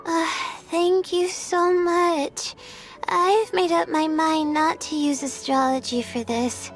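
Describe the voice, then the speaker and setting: A woman voicing a gentle, polite, hesitant young astrologer in a video game. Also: very high voice, fairly even intonation, slow. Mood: hesitant, earnest. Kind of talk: animated video game voice acting. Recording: music under the voice.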